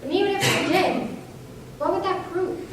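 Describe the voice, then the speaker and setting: A woman with a high voice recites a script in a declamatory stage manner. The sound is very echoey, with clear noise around her. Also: moderate pace. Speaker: readers theater performer. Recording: echoey hall, distant mic, noisy.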